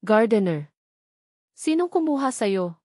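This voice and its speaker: A woman's voice: on the high side, big pitch swings, slow, dark tone, no echo, clean studio sound, full character voice. Woman playing a haughty, indignant garden owner. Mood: indignant, suspicious. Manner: emphatic, sarcastic, indignant. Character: haughty, territorial older single woman, suspicious garden owner.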